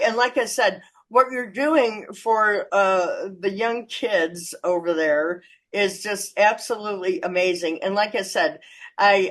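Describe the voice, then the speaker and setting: A woman's voice: medium pitch, a bit bright. An American woman hosting an interview, chatty and effusive, with lots of fillers and laughter in her talk. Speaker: interview host. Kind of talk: casual interview chat.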